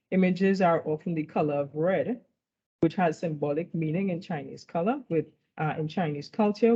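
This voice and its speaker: A woman presenting at an academic conference, walking through her slides in a measured way and often checking in with "right?". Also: fairly low pitch, dark tone. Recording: dull lo-fi recording.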